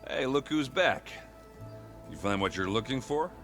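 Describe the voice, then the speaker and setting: An actor playing a gruff, good-natured warrior ally in a video game, blunt and sardonic in an informal way. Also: male voice, mid-range voice, lively intonation, a little slow, fairly bright tone. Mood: friendly. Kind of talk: video game dialogue acting. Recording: music behind the voice.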